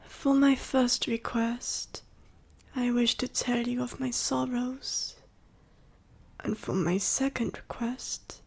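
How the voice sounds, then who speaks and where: A woman plays a dying she-dragon, the last of her bloodline, in an ASMR roleplay. Her voice is fairly high but husky and whispery, with a creaky edge. She speaks formally and gently, weak and short of breath, full of sorrow. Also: heavily acted. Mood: resigned, sorrowful. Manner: slow, formal, archaic phrasing with heavy pauses.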